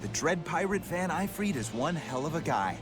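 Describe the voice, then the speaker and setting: Animated, eager pirate crewman. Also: a little high, moderate pace. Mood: cheeky, musing. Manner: colorful, informal, expressive. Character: eager, loyal young pirate crewman, cheeky and chatty. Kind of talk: video game voice acting. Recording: game music behind the voice.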